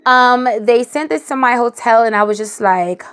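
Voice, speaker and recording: A woman with a hoarse, raspy voice after a cold, chatting animatedly as she reviews a perfume. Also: on the high side, normal speed, faint music behind. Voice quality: raspy, dry, cracking. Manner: animated, emphatic, tosses in "okay?". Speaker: fragrance reviewer. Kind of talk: casual chatty review to camera.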